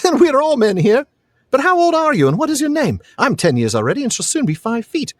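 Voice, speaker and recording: A man's voice: extremely high pitch, huge pitch swings, fast talker, no room sound, pitched above the performer's own voice, exaggerated voice. A man reading a novel aloud, voicing a proud, eager, inquisitive ten-year-old boy. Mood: curious, boastful. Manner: boastful, inquisitive.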